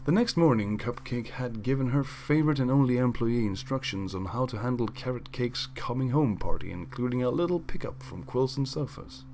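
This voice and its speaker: A man narrating a fan story in a lively, expressive reading voice. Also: mid-range voice, brisk, few pauses, a little hiss or hum. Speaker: fanfic reader.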